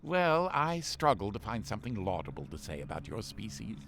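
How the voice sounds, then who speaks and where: An actor playing a pompous, sarcastic artificial intelligence ship companion for a game voice pack, full of condescending disdain. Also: male voice, on the low side, sing-song, normal speed, gravelly, a bit bright, a little hiss or hum, heavily acted. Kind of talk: scripted game voice-pack acting.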